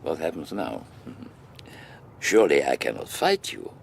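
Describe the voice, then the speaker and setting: An actor playing a menacing older man in a radio drama, speaking in formal, precise, deliberate phrases. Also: very deep voice, big pitch swings, vocal fry, breathy edge, exaggerated voice. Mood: cold, menacing. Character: menacing aging male villain, formal, cold, deliberate.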